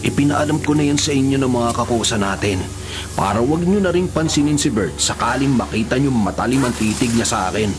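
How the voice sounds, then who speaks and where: An actor playing a big, steady male cell leader who speaks calmly and with authority in a radio drama. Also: mid-range voice, fairly quick, lightly acted. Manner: calm, firm, authoritative. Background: music.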